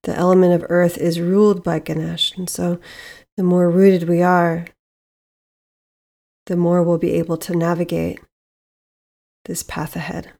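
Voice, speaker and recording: Mellow, low-pitched woman guiding meditation. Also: level tone, creaky. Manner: soothing, measured, with long pauses. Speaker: meditation guide, podcast host. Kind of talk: guided meditation narration.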